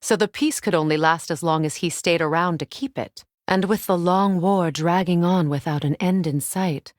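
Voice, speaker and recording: An actress performs a warrior goddess from a Norse fantasy video game, a proud woman with a slightly low voice. Her phrasing is formal and measured with a dry edge. The sound is studio-dry, with no room around it. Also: no room sound, lightly acted. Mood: measured, wry storytelling. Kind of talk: dramatic video game voice acting.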